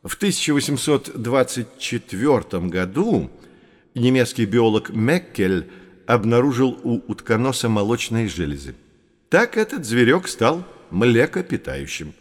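A man's voice: medium pitch, very animated, dry recording, clean studio sound. A man playing a knowledgeable, patient nature guide who explains things in a children's educational audio drama. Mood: informative. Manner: measured, didactic.

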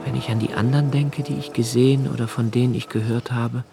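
An actor playing a brooding, anxious man of twenty-eight in a radio play, narrating his notebooks in a measured, reflective way with deliberate pauses. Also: mid-range voice, steady tone, vocal fry, loud music behind the voice, natural voice. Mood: intense, brooding. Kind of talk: literary radio play monologue, first-person narration.

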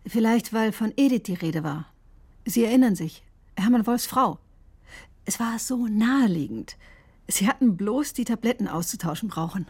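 An actress playing an articulate, quietly insistent woman doctor in a radio drama, precise and questioning. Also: on the high side, lively intonation, normal speed, slightly rough. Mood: analytical. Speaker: doctor accused in a criminal case. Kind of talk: German radio drama acting.

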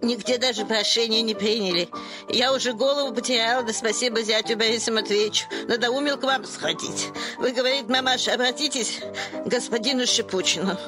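A woman's voice: a little high, brisk, over music, natural voice. An actress playing a pushy, plaintive older petitioner woman in a theatrical radio comedy, wheedling and obsequious as she nags. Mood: pleading.

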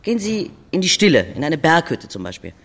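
A raspy, high-pitched blunt doctor. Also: male voice, wildly swooping pitch, brisk, fairly bright tone, heavily acted. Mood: brisk, matter-of-fact. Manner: clipped clinical jargon, patronising. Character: brisk, blunt male hospital doctor. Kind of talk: audio drama dialogue.

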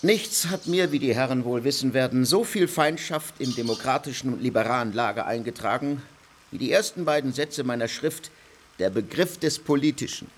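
A man's voice: mid-range voice, animated, normal speed, slight background noise, natural voice. An actor playing a pompous male political theorist, who lectures a circle of dignitaries in a declamatory way. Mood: lecturing. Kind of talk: radio play acting.

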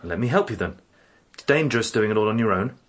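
A man's voice: lowish voice, expressive, quick pace. A man playing an earnest, protective young man in a radio drama, reassuring and coaxing but uneasy.

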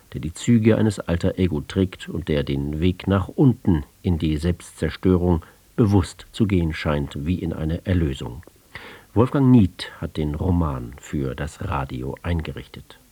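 Male literary radio host, dark husky tone, scripted introduction, close dry studio sound. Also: sits low, dry recording. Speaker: radio presenter.

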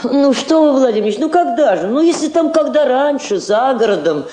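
Casting: sincere woman comrade, slightly high voice, respectful and obliging, Soviet radio play. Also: expressive, fairly quick, dark tone. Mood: deferential. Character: deferential, sincere female Bolshevik comrade. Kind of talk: Soviet radio drama acting. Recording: roomy, echoing stage-like studio sound.

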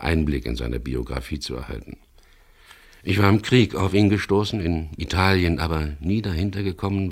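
An actor playing a wry, guarded boat skipper in a 1970s German radio crime drama, speaking in a measured, dry way with hesitant pauses. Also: male voice, a bass voice, gravelly, breathy edge, heavily acted. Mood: reflective, wry.

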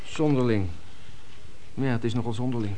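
A man's voice: mid-range voice, moderate pace, mellow, slight background noise, close to an everyday voice. An actor playing a defiant, oddly detached boy in a radio play, curt and questioning as he tells his story. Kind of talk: radio play dialogue and narration.

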